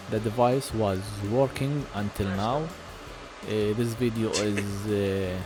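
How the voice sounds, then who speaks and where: A man giving an informal voice-over for a phone repair, speaking halting, non-native English. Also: mid-range voice, rough recording. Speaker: phone repair video host.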